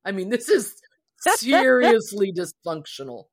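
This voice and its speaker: A woman's voice: medium pitch, sing-song, a little slow. A woman co-hosting a podcast, chatting casually and expressively about a story in an earnest way. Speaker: podcast co-host.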